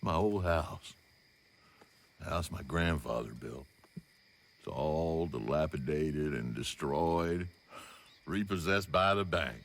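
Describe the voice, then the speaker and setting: Slow, low-voiced ruined Southern old gentleman. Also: expressive, a little hiss or hum, full character voice. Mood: bitter self-pity. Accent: American Southern. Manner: slow, maudlin, rambling lament. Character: bitter old ruined Southern gentleman, destitute and self-pitying. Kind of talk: video game cutscene acting.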